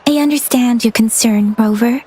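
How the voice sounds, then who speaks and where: A woman voicing a gentle, thoughtful young woman in a video game, speaking in a polite, earnest, measured way. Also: a little high, slightly rough, a faint crowd. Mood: thoughtful, sympathetic. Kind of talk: video game character dialogue.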